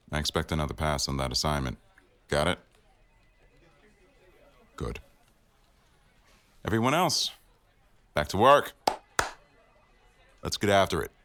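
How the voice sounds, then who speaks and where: A man's voice: very deep voice, a bit bright, heavily acted. A man playing a stern, teasing office boss in a boyfriend roleplay audio, speaking in a wry, formal way. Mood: dry, formal, then commanding. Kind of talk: boyfriend ASMR roleplay acting.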